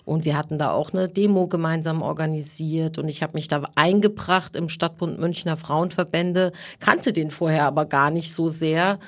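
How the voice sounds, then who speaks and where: Lower-voiced woman in her forties. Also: fairly even intonation, moderate pace, few pauses. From Iran, raised in Germany. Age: 40s. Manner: earnest, with frequent hesitations. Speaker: guest, women's network chair. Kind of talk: interview.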